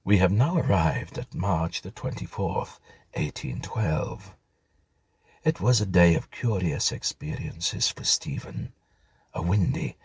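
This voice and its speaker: Low, very husky male story narrator. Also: no echo. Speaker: audiobook narrator. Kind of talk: scripted literary narration of a ghost story.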